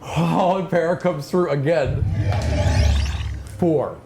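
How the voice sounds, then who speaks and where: A man's voice: a little high, slow, music in the background. A man running a tabletop roleplaying game, narrating scenes off the cuff in a conversational, vivid way. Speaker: game master. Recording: noisy room ambience behind voice.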